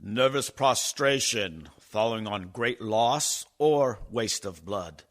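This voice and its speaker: Man as a slow, solemn doctor narrator. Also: on the low side, lively intonation, a bit bright. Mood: bewildered. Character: solemn, grieving male doctor narrating his tale. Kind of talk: dramatic radio play narration.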